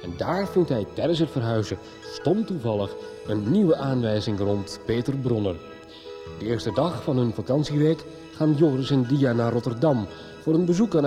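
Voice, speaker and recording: A man's voice: mid-range voice, expressive, normal speed. A man reading a scripted recap as narrator of a radio soap serial. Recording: music behind the voice. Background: music.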